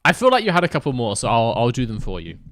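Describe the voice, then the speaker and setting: A man's voice: mid-range voice, wildly swooping pitch, fast talker, a bit bright, no room sound, studio quality. A young man streaming a racing game, chatting casually and joking with his chat as he reads it. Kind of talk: casual gaming livestream chat.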